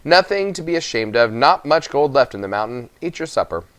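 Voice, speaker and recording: A man voicing a frail old grandmother in a storybook, comforting and gently brisk, with plain homely sayings. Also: medium pitch, very animated, clean tone. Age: older.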